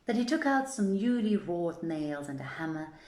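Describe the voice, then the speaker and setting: A woman's voice: fairly low pitch, very animated, moderate pace, darkish tone. A woman reading an old tale as a storyteller, in theatrical, formal, archaic phrasing. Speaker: storyteller, reader. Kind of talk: scripted literary narration of an old tale. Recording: echoey, roomy sound.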